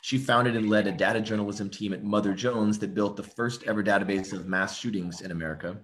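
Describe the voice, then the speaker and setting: A man's voice: on the low side, fairly even intonation, fairly quick, fairly bright tone. A man with a smoky voice, a club member, reading out a formal introduction of the guest speaker.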